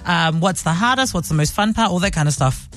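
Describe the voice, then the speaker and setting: A man hosting a radio studio show, upbeat and quick to laugh. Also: sits high, lively intonation, fairly bright tone. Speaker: radio host. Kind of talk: radio interview.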